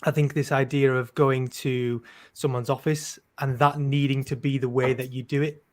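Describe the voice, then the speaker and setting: A young man in his twenties speaks on a panel in a relaxed, upbeat way. He talks in a conversational tone and shapes his thoughts as he speaks. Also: mid-range voice, moderate pace. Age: 20s. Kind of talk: panel discussion.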